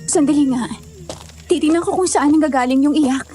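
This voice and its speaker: A woman's voice: high voice, a little fast, warm tone, a little hiss or hum, natural voice. A woman with a Filipino accent acting a fearless, frank young hiker in a conversational audio drama. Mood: curious, bold.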